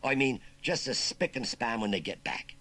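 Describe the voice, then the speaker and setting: A bumbling, gruff older farmer, a little flustered, stumbling over his words with a storybook kindness, as a narrator puts him on. His husky voice swings widely up and down. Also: mid-range voice, sing-song, moderate pace, bright, forceful tone, pitched above the performer's own voice, heavily acted. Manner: hesitant, fumbling. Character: grumpy, kindly older male farmer.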